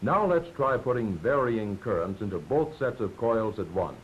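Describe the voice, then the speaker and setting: An American man narrating a 1940s instructional film from a script, explaining in a measured, didactic way. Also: lively intonation. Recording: dull, noisy 1940s film soundtrack with rumble.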